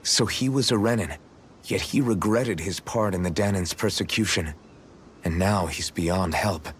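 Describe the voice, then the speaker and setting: A man's voice: low voice, unhurried, a little hiss or hum. An actor playing an earnest, frank young swordsman hero in a video game, speaking directly. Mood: concerned. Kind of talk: dramatic video game acting.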